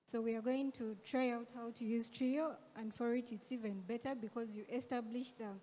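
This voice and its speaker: A woman presenting on a conference stage, explaining a process in a measured, step-by-step way. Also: fairly high pitch, mellow, long reverb tail, rough recording.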